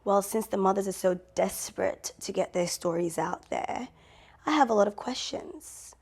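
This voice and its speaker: A woman's voice: medium pitch, expressive, moderate pace, a little mellow, husky. A woman playing a vain posh young star. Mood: self-satisfied. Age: 20s. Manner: haughty, theatrical.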